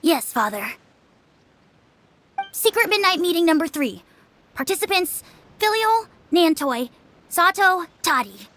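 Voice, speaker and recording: A woman's voice: extremely high pitch, big pitch swings, unhurried, pauses often, fairly bright tone, heavily acted. A woman voicing a smug, callous youngster in a video game, taunting and matter-of-fact as an informer. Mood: smug, accusing. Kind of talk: scripted video game acting.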